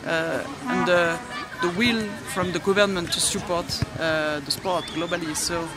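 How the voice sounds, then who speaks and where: A woman's voice: lowish voice, steady tone, normal speed. A middle-aged woman who manages football academies, answering questions in an outdoor media interview. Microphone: handheld reporter microphone. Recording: loud crowd and music behind.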